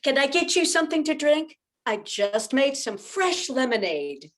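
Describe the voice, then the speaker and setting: A woman's voice: sits high, very animated, a little slow. A woman playing a hospitable young widow and mother in an amateur radio play. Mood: gracious.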